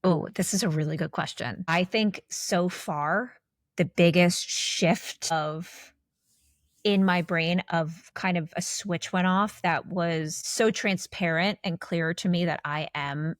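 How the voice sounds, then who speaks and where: A woman's voice: fairly low pitch, slow, no echo, clean studio sound. A woman in her forties co-hosting a podcast, talking candidly in a rambling, casual way with many restarts. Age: 40s. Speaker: podcast co-host.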